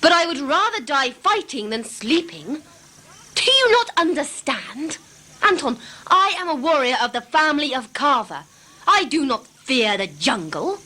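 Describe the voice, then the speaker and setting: Sci-fi audio play: actress as fearless warrior woman, formal and proud, bright, very animated delivery. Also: high voice, full character voice, poor audio. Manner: declamatory, formal. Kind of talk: audio drama acting.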